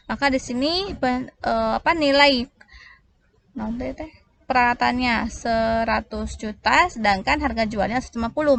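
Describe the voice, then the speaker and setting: Female tutor, higher-pitched voice, conversational step-by-step teaching, slightly noisy recording. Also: fairly bright tone. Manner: conversational, checks in with rhetorical questions. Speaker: accounting tutor. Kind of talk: informal tutorial explanation.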